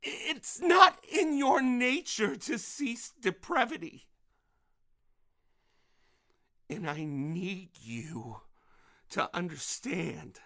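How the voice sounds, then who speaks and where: Intense, menacing grieving father, bright forceful voice. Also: fairly high pitch, creaky, heavily acted. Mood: furious, threatening. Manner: intense, halting, with repeated words. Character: menacing, grieving father protecting his daughter. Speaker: actor in an audio drama. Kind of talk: dramatic audio drama acting.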